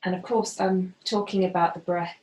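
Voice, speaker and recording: A woman gently guiding a body scan as a yoga facilitator, unhurried and leaving pauses. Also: lowish voice, steady tone. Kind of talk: guided body scan meditation instruction. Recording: roomy, echoing voice.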